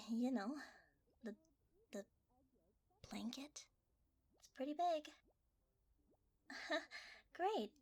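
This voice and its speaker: A woman playing a flustered, winded delivery worker in a hesitant, breathy ASMR roleplay. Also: high voice, a little mellow, heavily acted. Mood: winded, helpful. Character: flustered, winded young blanket delivery worker, chatty and shifty.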